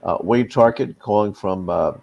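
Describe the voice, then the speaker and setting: A man's voice: on the low side, normal speed, vocal fry, muffled recording. An American man, an HR consultant and lecturer, introducing himself on a panel in a chatty, jokey way. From United States. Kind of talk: panel introduction on a video call.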